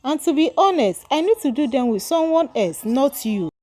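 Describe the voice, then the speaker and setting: A woman's voice: high voice, lively intonation, normal speed, darkish tone. A woman voicing a troubled, ambitious husband in a storybook tale, earnest and emphatic. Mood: apologetic, firm. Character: troubled, ambitious husband, hesitant but self-serving. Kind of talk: storybook dialogue.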